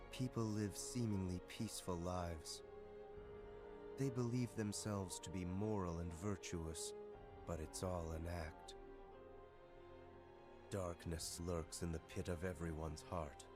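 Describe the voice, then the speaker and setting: A cold, arrogant young man broods in a deep, slow voice over music. It is a solemn, disdainful game cutscene delivery. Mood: cold, bitter. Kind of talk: video game cutscene acting. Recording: music under the voice.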